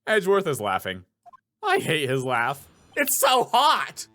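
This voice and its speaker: Playful guy commentating a game, voice swoops around, sharp tone, light music behind. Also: fairly high pitch, huge pitch swings, measured pace. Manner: playful, theatrical. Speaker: voice actor, gameplay host. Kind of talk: gameplay commentary with character readings.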